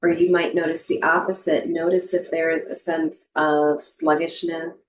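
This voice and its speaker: A woman teaching yoga nidra and breathwork, giving guided meditation instructions in a gentle, soothing manner with long pauses. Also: sits low, little pitch movement, a crowd in the background. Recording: roomy, echoing voice recording.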